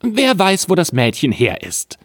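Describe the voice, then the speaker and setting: A spiteful old queen mother sneers in a shrill, raised voice, as a man puts her on. She is a scheming storybook villain, scornful of everyone below her. The reading is unhurried and dry, with no room sound. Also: huge pitch swings, no room sound, exaggerated voice.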